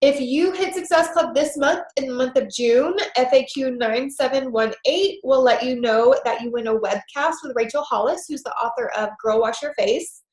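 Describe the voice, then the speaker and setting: A woman's voice: sits high, moderate pace, few pauses, darkish tone, reverberant, poor audio. A woman with a stuffed-up head cold, leading a team call in an upbeat, chatty way. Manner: upbeat and enthusiastic with many "super"s and "um"s. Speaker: team leader of a coaching group. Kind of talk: hosting a team conference call with announcements.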